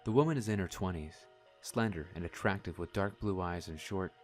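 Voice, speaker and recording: A man reading scripted narration for an audio drama in a measured, descriptive manner. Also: deep voice, soft background music.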